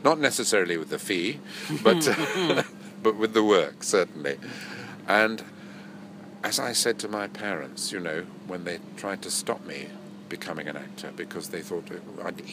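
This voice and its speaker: An older actor and voice-over artist, nasal from a cold, reminiscing in a rambling, self-correcting way during an interview. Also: male voice, sits low, expressive, normal speed, noisy background.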